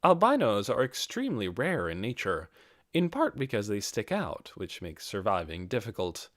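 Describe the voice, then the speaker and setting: Expressive male audiobook narrator, lively pitch. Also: moderate pace, dry recording, clean studio sound. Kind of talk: scripted fantasy story narration.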